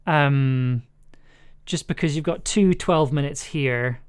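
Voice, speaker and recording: Male livestreamer and audio producer, medium pitch, informal and tentative, thinking aloud while working, keyboard clicks audible. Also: slow pace, clean tone. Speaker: streamer and audio drama producer. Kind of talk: casual livestream commentary while editing.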